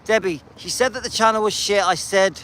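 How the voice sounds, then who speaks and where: A man's voice: sits high, fairly quick, pushed and bright, a little hiss or hum. An English man live streaming on a dog walk, chatting with viewers and reading out their comments in a rambling, friendly way. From England.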